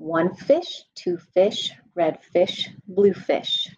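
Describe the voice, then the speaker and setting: Woman, 30s, children's storytime reader. Lively swooping intonation, unhurried rhyming delivery, slightly muffled webcam sound. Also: fairly low pitch, husky. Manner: expressive, deliberate sing-song rhyme reading. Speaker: school staff nutritional specialist reading a children's storybook. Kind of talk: read-aloud storytime for children. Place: indoor room at home.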